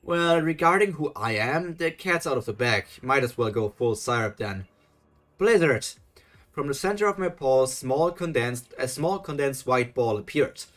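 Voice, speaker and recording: A man's voice: medium pitch, expressive, moderate pace, a bit bright. A man streaming a live reading of a fan story, stumbling and correcting himself as he reads and stopping to critique the writing. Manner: reads aloud with frequent self-corrections, breaks off to critique. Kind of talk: live reading with reaction commentary.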